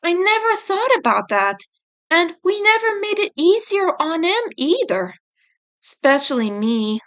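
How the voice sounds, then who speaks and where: A woman reading the part of a gentle, playful younger teenage turtle brother in a story, with a reassuring manner. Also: very high voice, sing-song, measured pace, pitched above the performer's own voice, exaggerated voice. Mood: subdued.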